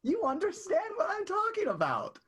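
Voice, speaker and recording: An actor playing a blunt, scheming vaudeville performer in an improvised radio soap, with a husky, halting conversational delivery. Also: very high voice, huge pitch swings, fairly bright tone, heavily acted. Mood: earnest, persuading.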